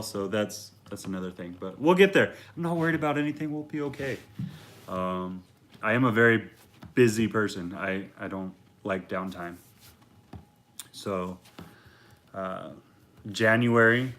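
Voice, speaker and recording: A man with a deep voice chatting casually to his viewers in a relaxed, rambling way with plenty of fillers. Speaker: reptile vlogger. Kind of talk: casual talk to camera.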